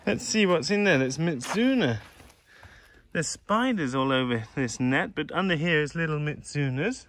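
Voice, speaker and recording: A man giving a relaxed, chatty tour of his farm, filmed on a handheld phone outdoors and in sheds. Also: a little high, normal speed. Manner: relaxed, gentle, chatty with a child. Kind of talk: casual walking farm tour vlog. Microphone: handheld phone camera.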